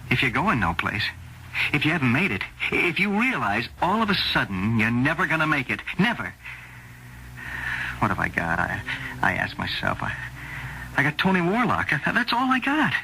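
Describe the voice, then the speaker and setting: A washed-up American agent in his forties in a vintage radio play, sharp, forceful and pleading. His pitch swings widely as he stammers and repeats himself, over a faintly noisy old recording. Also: wildly swooping pitch, normal speed, creaky, exaggerated voice. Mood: despairing. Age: middle-aged. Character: anxious, needy 42-year-old male talent agent, feeling washed-up. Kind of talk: old-time radio drama acting.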